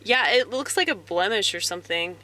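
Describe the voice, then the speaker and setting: A man rambling in a soft-spoken, halting way, full of fillers, as a stand-up comedian musing aloud. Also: high-pitched, animated, slight background noise, poor audio. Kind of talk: rambling casual monologue.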